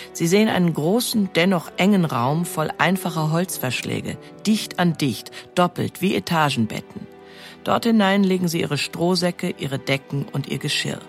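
A woman with a creaky, gravelly edge gives a documentary account. She explains in a measured way, with faint music playing behind her. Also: low-pitched. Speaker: expert commentator.

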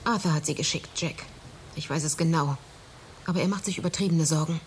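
An actress playing a languid, ailing young woman in a radio horror drama, faint and halting. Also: low-pitched, a little hiss or hum, close to an everyday voice. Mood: reluctant, troubled. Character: weary, ailing young woman, evasive and dreamy.

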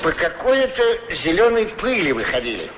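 A weathered shoeshine man in a radio play. He is talkative and gently teasing, his intonation swinging freely. The old recording carries clear noise. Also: a little high, big pitch swings, fairly quick. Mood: teasing, inquisitive.

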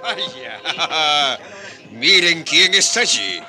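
An actor playing a cruel, gloating colonial landholder in a radio drama, mocking and menacing in manner. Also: male voice, a little high, very animated, extremely slow, over music, heavily acted. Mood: gloating, scheming.

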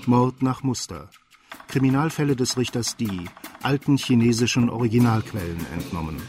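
A man reading scripted credits as the announcer of a radio play. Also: mid-range voice. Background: music.

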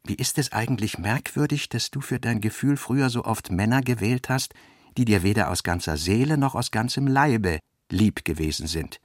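A man narrating literary prose and its dialogue in a measured, articulate way. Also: fairly low pitch, no room sound, studio quality.

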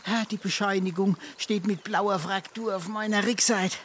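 A man's voice: high-pitched, fairly even intonation, normal speed, a bit bright, slight background noise. An actor playing a brazen, boozy male freeloader in a dialect stage comedy, groaning and self-important, with a Darmstadt Hessian accent. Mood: smug, indignant.

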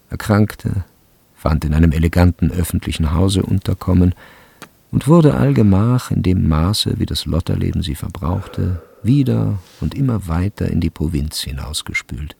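A man narrating a literary radio drama from a script, with measured and deliberate phrasing. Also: low voice, creaky, dark tone, breathy edge, no room sound.

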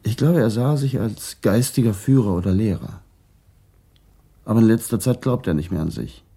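A reflective middle-aged policeman in a radio crime play. His voice is mellow and husky with some creak, and he talks in a measured, guarded manner. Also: on the low side. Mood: thoughtful. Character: calm, reflective Papuan-Dutch traffic policeman being questioned. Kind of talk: German radio crime drama acting.

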